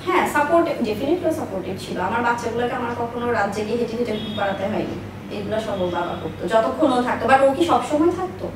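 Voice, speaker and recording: A woman's voice: on the high side, animated, very fast. A woman in her thirties telling personal stories as an interview guest, speaking emphatically and repeating herself for stress. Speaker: interview guest, business owner. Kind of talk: personal life storytelling in an interview. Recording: echoey room, distant mic, faint noise.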